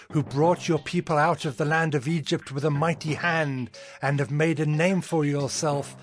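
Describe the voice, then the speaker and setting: Guy acting a humble devout prophet, solemn and slow, music behind him, audio drama. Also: fairly high pitch, lightly acted. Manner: solemn, fervent, measured delivery. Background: background music.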